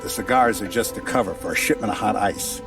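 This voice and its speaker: An actor playing a shrewd, commanding mob boss with an American accent in a game's crime drama, speaking in a measured, authoritative way. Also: male voice, on the low side, distant mic, lightly acted. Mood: sly, confident. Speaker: actor in a video game cutscene. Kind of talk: cinematic crime drama acting. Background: background music.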